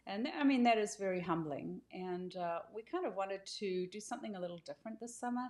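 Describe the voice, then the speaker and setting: A woman giving an informal welcome talk as an author and co-host, speaking conversationally and haltingly as she corrects herself. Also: medium pitch, darkish tone.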